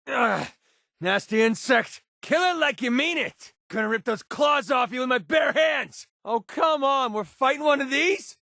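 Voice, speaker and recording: Game companion role: sardonic young mercenary, cocky and terse, very animated high-pitched delivery. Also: male voice, vocal fry, dry recording, clean studio sound, heavily acted. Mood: aggressive, urgent. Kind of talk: video game companion voice lines and combat barks.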